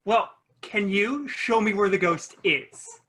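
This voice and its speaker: A performer playing a self-absorbed teenage influencer at a table read of a comedy pilot, with an unhurried, vlogger-like delivery. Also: mid-range voice, fairly bright tone. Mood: skeptical, underwhelmed.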